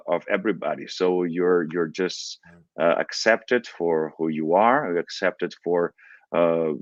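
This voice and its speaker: A middle-aged man from Brazil whose first language is Portuguese, speaking in a fairly low voice. He talks haltingly, full of fillers and restarts. The sound is muffled and dry, with no room around it. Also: dry recording. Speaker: guest opera singer. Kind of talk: relaxed interview talk.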